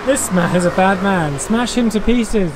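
A man's voice: high voice, expressive, normal speed, background noise. A young adult man making dry, joking quips on a casual travel vlog, outdoors in a city at night on a handheld camera. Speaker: vlogger.

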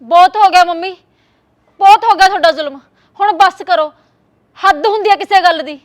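A woman's voice: very high voice, steady tone, normal speed, dry recording. A young woman with a Punjabi accent playing a put-upon daughter-in-law, pleading and weepy, then defiant.

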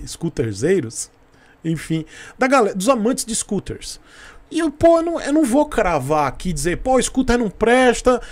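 Brief: man, 30s, livestream host, slightly high voice, emphatic and chatty, close desk mic. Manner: animated, emphatic, gesturing, friendly slang. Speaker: motorcycle channel host. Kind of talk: casual live-stream advice. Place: small home studio room. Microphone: desk microphone close in front.

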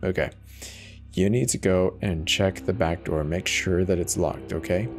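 A man playing a steady, reassuring emergency dispatcher in a horror audio drama, urging the caller along. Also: deep voice, a little slow, faint music behind. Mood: calm, reassuring. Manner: calm, reassuring, soft-spoken urging.

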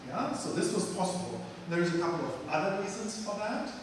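A man's voice: sits high, animated, fairly quick, background noise. Man, middle-aged, emphatic lecturer, echoey hall, distant mic. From Germany. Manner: emphatic, blunt and opinionated. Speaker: guest lecturer. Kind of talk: lecture answering questions. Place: large lecture room. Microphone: handheld mic.

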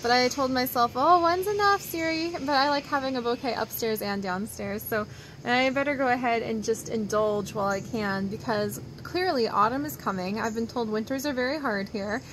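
A woman's voice: a little high, normal speed, fairly bright tone, a little hiss or hum, low-quality recording. A young woman in her twenties talks casually to her viewers from an outdoor wooden deck, rambling and upbeat. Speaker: vlogger. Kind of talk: casual chatty vlog. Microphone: phone or camera held close.

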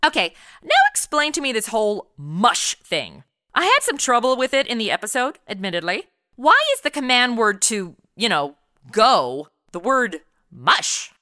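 Female podcast host, bright forward tone, lively swooping intonation, perky and chatty, close dry sound. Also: a little high, moderate pace, no echo. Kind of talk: playful scripted interview questions.

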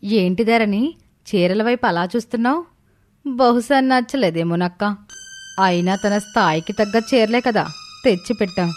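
A woman's voice: mid-range voice, normal speed, pauses often, faint music behind, lightly acted. A woman playing a haughty, scornful daughter-in-law in a cartoon, with a sneering manner.

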